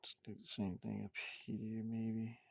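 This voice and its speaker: A man's voice: on the low side, monotone, measured pace, vocal fry, dry recording, lo-fi sound. A man talking casually to himself as he draws, absent-minded, with playful sing-song asides. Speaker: game artist streaming a drawing session. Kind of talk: casual muttering while drawing.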